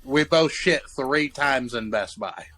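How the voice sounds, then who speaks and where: A man's voice: medium pitch, animated, slow pace, bright, forceful tone. A man, co-hosting a casual podcast from a basement den and telling profane, rambling stories. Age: 20s. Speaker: podcast co-host. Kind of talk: casual chat. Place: cold basement den in a house. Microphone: handheld mic.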